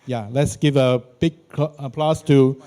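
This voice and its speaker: A man's voice: medium pitch, fairly even intonation, a little slow, mellow, smooth. Hesitant man chairing a session. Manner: hesitant, many uhs, non-native phrasing. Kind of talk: introducing speakers. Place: large conference hall.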